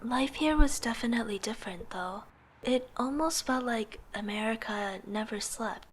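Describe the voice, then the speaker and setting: A young woman playing an aspiring artist, narrating her own story in a soft, introspective, wistful way. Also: a little high, normal speed, breathy edge. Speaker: narrator and lead character. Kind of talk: reflective first-person narration with dialogue.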